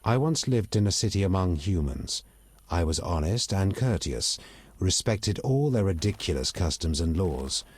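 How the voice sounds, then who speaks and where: A man playing a proud, bitter elf rebel in a video game, articulate and measured, with open disdain. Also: deep voice, big pitch swings, darkish tone, slightly rough, no echo, heavily acted. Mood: bitter, reflective. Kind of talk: video game dialogue acting.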